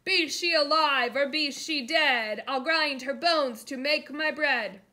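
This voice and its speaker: A woman's voice: slow pace, pushed and bright, heavily acted. A woman voicing a huge, greedy male giant who roars and bellows. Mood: menacing. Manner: roaring, booming.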